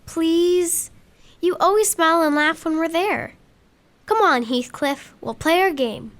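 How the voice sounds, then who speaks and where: High, unhurried young girl in an audio drama, gentle and imploring, played by a woman. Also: slight background noise. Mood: coaxing. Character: gentle, pleading young girl full of make-believe.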